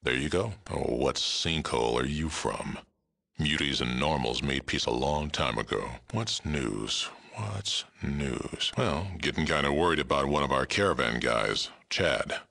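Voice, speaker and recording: An aging mutant sheriff from a video game, speaks in an extraordinarily deep register. The voice is thick with gravel and has a hard, forceful edge. He is blunt and dry, a world-weary lawman who keeps things fair. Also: animated, unhurried, heavily acted. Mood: businesslike, gruff. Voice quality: gravelly, rumbling. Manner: blunt, terse, dry delivery. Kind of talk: video game character dialogue.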